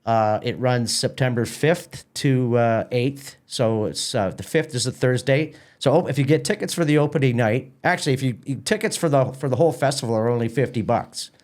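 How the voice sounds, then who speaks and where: A man's voice: mid-range voice, moderate pace, hardly any pauses, a little mellow. A man chatting casually as an interview guest and festival organiser, informal, often repeating words and doubling back. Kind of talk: casual promotional interview chat.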